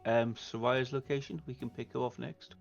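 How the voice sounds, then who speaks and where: A man's voice: mid-range voice, steady tone, moderate pace, gravelly, faint music behind. A man talking casually as a player in a tabletop roleplaying game, planning the group's next move. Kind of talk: casual planning talk, partly in character. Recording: slightly dull, band-limited sound.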